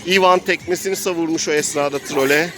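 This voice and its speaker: A man's voice: on the high side, fairly even intonation, fast, fairly bright tone. Man narrating a role-play game over background noise. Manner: narrates game action as if living it. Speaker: game master and player.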